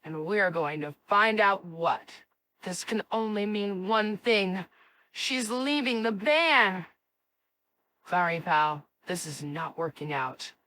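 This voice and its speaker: A woman voicing a spirited, cocky and excitable cartoon kid with an exclamatory, dramatic delivery. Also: lowish voice, no echo, exaggerated voice. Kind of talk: animated series voice acting.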